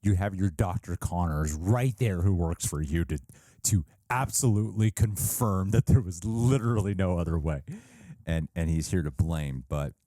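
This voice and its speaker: Low-voiced man, rambling casual commentary. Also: normal speed, mellow, no room sound. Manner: rambling, profane, self-deprecating. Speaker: reaction show co-host. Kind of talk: casual reaction commentary and discussion.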